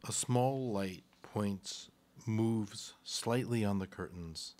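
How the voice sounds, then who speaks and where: A man's voice: on the low side, slow, a little mellow. A man reading stage directions as scripted narration for a radio play.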